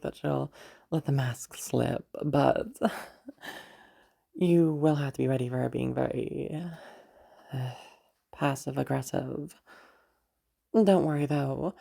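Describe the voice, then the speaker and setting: A woman's voice: a bass voice, slow pace, vocal fry, long pauses, mellow, full character voice. A woman playing a playful, menacing villainess girlfriend who talks in a smug, amused, teasing drawl in an intimate audio roleplay.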